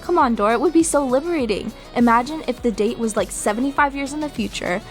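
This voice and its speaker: A woman's voice: on the high side, fast talker, soft background music, lightly acted. An actress playing a bold, eager teenage girl, slangy and persuasive in audio drama dialogue. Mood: eager, coaxing.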